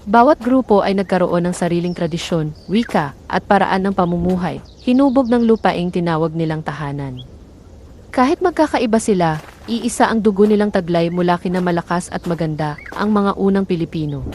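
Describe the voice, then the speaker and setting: A woman's voice: fairly low pitch, lively intonation, brisk, a little mellow, slight background noise. A woman storyteller reading a scripted folktale narration.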